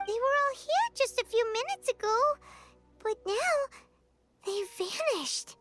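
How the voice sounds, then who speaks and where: A tiny, excitable fairy sidekick from a video game, extremely high and squeaky. The childlike voice swoops expressively and draws its words out slowly, anxious by temper, over music. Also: female voice, full character voice. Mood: puzzled. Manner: exclamatory, expressive. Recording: background music under the dialogue.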